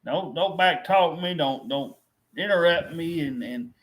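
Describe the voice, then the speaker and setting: Man in middle age, fairly high voice, rambling frustrated vent to camera with lots of restarts. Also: a little slow, noisy background. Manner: frustrated, repetitive, with many restarts. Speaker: vlogger.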